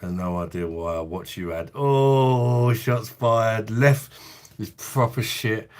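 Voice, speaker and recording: Deep-voiced man chatting over pints. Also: slow pace, a little mellow. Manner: laid-back banter, laughs often, swears. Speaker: beer reviewer hosting a live stream. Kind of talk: casual live-stream chat while drinking. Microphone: phone.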